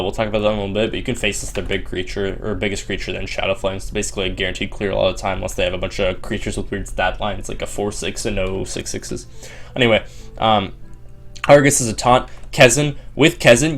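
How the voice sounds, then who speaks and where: A young man with a slightly sore voice, casually walking through a card game deck with lots of ums and restarts. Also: on the low side. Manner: quick, filler-heavy delivery with frequent ums and restarts. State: sore voice from long streaming. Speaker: Hearthstone player explaining a deck.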